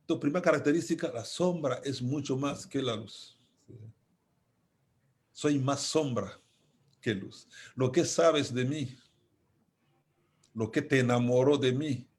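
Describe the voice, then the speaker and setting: A man from Congo with a Congolese accent, giving a reflective philosophical talk as an invited speaker, deliberate and emphatic, repeating key phrases. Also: mid-range voice.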